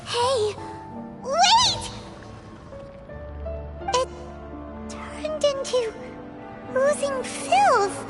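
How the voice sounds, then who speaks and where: A woman playing a tiny childlike fairy companion in a fantasy game, earnest and inquisitive, with a small squeaky voice. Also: very animated, very slow, long pauses, loud music behind the voice, exaggerated voice. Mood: alarmed. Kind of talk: animated fantasy game voice acting.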